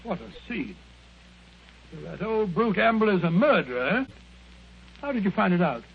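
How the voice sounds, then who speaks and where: An actor playing an excitable, easily astonished male sidekick in an old radio drama, blustering and exclaiming. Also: high voice, moderate pace, vocal fry, breathy edge, full character voice. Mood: curious, astonished. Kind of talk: 1940s radio drama acting. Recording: dull, noisy old radio recording.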